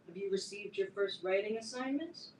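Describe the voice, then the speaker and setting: Recorded from far off in an echoey room, a woman acts out a self-important teenage girl who runs her club like a tiny empire. Her delivery is theatrical and pompous, swinging widely in pitch for a live staged reading. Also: animated, normal speed, lightly acted. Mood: bossy, testing. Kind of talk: staged play reading before an audience. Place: live reading in a roomy indoor space. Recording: distant echoey room, clear background noise.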